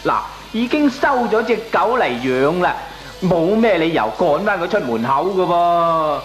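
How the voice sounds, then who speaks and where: An actor playing a shameless, glib dog owner in a radio comedy drama, arguing back with a Hong Kong Cantonese accent. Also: male voice, sits high, animated, a little slow. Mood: stubborn, unbothered. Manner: glib, chuckling, argues back with lame excuses. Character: shameless, jovial dog-owning male neighbour brushing off complaints.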